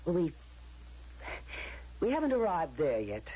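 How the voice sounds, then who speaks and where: A stern, coldly persuasive woman in authority, lecturing in a husky voice with dramatic rises and falls. It is declamatory old radio drama on a dull, lo-fi recording. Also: lowish voice, huge pitch swings, a little slow, dark tone, soft background music, full character voice. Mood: cold, grave.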